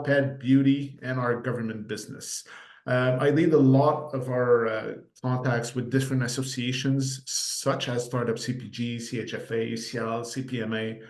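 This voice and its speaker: Quebec French-accented male presenter, 40s, relaxed, filler-heavy, echoey office sound. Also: mid-range voice. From Quebec, Canada. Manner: relaxed, conversational, frequent "uh" fillers. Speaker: market data presenter. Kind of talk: webinar presentation. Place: office room. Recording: roomy echo.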